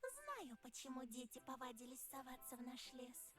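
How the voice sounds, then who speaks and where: A woman's voice: sits high, very animated, normal speed, fairly bright tone. A woman voicing a playful, sly fox girl in a game, teasing and bouncy. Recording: game audio with music behind.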